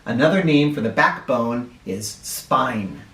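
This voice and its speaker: A man reading a children's picture book aloud in an expressive, instructive way, speaking directly to young listeners. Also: medium pitch, slow pace, slight background noise. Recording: roomy echo, distant from the microphone.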